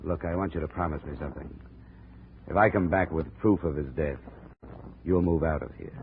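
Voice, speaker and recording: An American actor playing a hard-boiled insurance investigator in a 1950s radio drama, terse, dry and matter-of-fact. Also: male voice, extremely low pitch, a little fast. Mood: firm, probing. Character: hard-boiled, blunt insurance investigator, narrating his own case. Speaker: lead actor of a radio detective series. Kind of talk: 1950s radio noir narration and dialogue. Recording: muffled, noisy 1950s radio recording.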